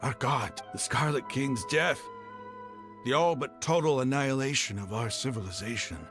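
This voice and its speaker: A man playing a proud, embittered desert mercenary leader in a video game, with dramatic, halting, emotional delivery. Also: medium pitch, music in the background, close to an everyday voice. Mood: bitter, anguished.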